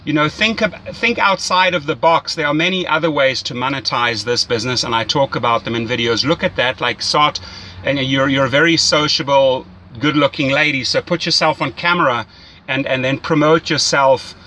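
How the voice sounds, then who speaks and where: Bright, forceful-voiced male rideshare coach. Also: mid-range voice, steady tone, normal speed, slight background noise, low-quality recording. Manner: enthusiastic, salesy, often checks with "right?". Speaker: rideshare mentor. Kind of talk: casual coaching phone call.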